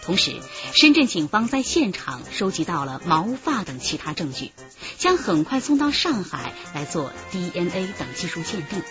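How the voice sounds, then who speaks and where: A woman narrates a radio crime drama in a mid-pitched, very lively voice. Her delivery is scripted and dramatic. Prominent music runs behind her, and the sound is slightly dull. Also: normal speed.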